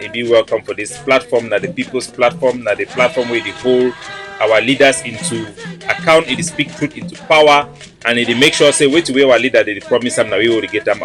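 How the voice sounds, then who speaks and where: A man's voice: medium pitch, fairly bright tone. Emphatic Cameroonian Pidgin-speaking man. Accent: Cameroonian Pidgin English. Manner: earnest, repetitive, emphatic, greets viewers by name. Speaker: online show host. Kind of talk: casual livestream address.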